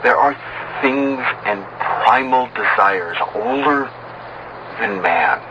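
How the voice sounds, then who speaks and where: Slow, grave man narrating horror. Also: lowish voice, lively intonation, highs cut off. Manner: slow, grave, deliberate delivery with dramatic pauses. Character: doomed male sailor recounting horror, despairing and grave. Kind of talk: dramatic horror narration, acted in first person. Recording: clearly noisy bed of ambient sound.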